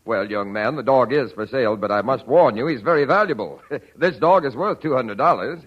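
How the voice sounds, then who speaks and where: An actor playing a genial, patronizing shop owner, hearty and formal, in a 1940s radio comedy. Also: male voice, medium pitch, expressive, fairly quick, slightly rough. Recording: dull 1940s radio recording.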